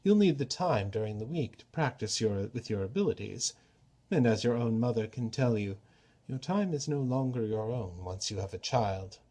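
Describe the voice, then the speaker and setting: A man voicing a roguish, grand trickster god from a storybook, with a formal, measured and persuasive manner. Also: medium pitch, animated, darkish tone, lightly acted. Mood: businesslike. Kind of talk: storybook character reading.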